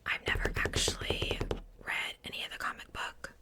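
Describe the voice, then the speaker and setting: A woman hosting an ASMR unboxing in a breathy, unvoiced whisper, softly repeating words and pausing between phrases. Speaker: ASMR video host.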